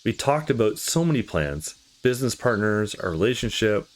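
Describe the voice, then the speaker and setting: Man reading as a troubled young man, low-voiced and confiding. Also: very animated, moderate pace. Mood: somber, confiding. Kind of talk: visual novel character read aloud.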